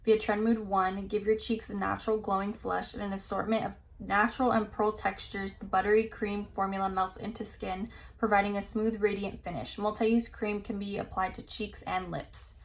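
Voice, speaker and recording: A woman's voice: mid-range voice, little pitch movement, normal speed, hardly any pauses. A young woman chatting casually as a beauty vlogger, her voice a little scratchy from talking a lot, rambling with plenty of "like" and reading product blurbs aloud. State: slightly scratchy, tired voice. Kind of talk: casual chat reviewing new makeup releases.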